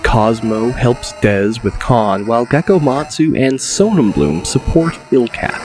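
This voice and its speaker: A male narrator reads a dramatic fantasy audiobook. His delivery is slow and deliberate, at an average pitch, with music playing clearly behind him. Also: animated, a little mellow. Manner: deliberate, descriptive.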